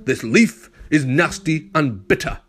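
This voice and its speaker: A man voicing a greedy, boastful rat highwayman from a picture book who bellows his demands. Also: animated, a little slow, fairly bright tone, soft background music. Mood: boastful bellowing.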